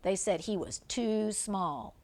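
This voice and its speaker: A woman's voice: mid-range voice, slow pace, darkish tone. An older woman reading a children's picture book aloud as a grandmother would, stopping to point things out simply. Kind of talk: read-aloud storybook narration with asides about the pictures.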